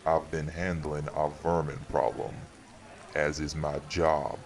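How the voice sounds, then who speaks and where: Man playing a very deep-voiced, sardonic guardian. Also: little pitch movement, full character voice. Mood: stern. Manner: formal, sardonic, measured. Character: stern, dry-witted guardian of a supernatural New Orleans community. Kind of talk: audio roleplay acting, dramatic dialogue.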